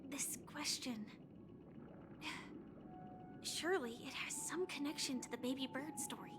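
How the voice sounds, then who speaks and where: A woman voicing a pensive, analytical young woman in a video game, quietly musing. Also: sits high, a little slow. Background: game music.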